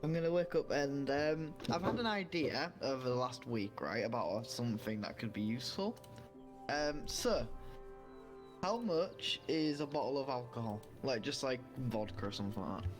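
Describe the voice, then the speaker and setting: A man's voice: medium pitch, lively intonation, normal speed, over music. A man playing a tabletop game, talking in a casual, rambling way. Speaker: player.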